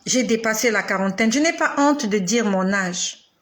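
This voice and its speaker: A woman in her forties preaching a sermon straight to the listener, with slow, emphatic repetition and dramatic pauses. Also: mid-range voice, animated. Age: 40s.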